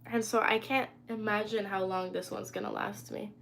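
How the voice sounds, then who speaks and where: A young woman talks loosely and chattily into her phone on a home live stream, answering viewers as their comments come in. Her pitch sits in a middling range. A faint hiss of ambient noise stays under her voice. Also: steady tone, normal speed, vocal fry, fairly bright tone. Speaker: livestreamer. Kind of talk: casual live stream chat. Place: indoors at home.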